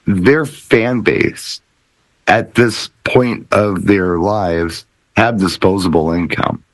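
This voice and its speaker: A man's voice: low voice, slow, dry recording, low-quality recording. A middle-aged American man in casual panel chat, blunt and slangy, repeating phrases for emphasis. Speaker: DJ and podcast panelist.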